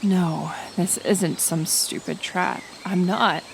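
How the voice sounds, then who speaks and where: A woman playing a kind, stubborn healer who lives in the woods, speaking haltingly and earnestly to the listener in a roleplay audio drama. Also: on the low side, steady tone, gravelly. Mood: indignant, insistent. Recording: outdoor nature ambience, insect noise. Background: forest ambience with insects.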